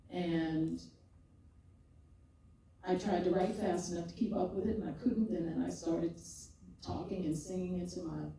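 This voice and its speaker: An older woman, a poet and podcaster, telling her story in an expressive, rhythmic way and often laughing, before a live audience. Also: lowish voice, a little hiss or hum. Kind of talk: reflective conversational storytelling. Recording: echoey hall, mic far off.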